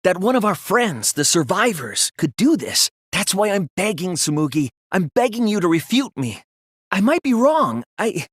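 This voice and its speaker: Earnest, expressive teen boy detective. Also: high-pitched, breathy edge, dry recording, studio quality, full character voice. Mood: desperate pleading. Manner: earnest, measured explaining that turns to strained pleading. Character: earnest teenage boy detective, sensitive and anxious. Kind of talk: dramatic English video game dub acting.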